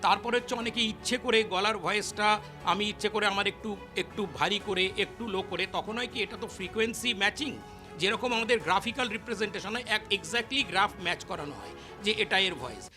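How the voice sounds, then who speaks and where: Male expert interviewee, high pitch, explanatory, code-switching into English, over background music. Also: fairly quick. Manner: mixes in English phrases, explanatory.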